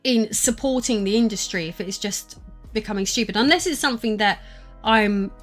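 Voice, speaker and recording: A woman's voice: medium pitch, normal speed, faint music behind. A woman in her thirties with an Estuary English accent, chatting casually to her live-stream audience from a room at home, rambling with lots of fillers and restarts. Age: 30s.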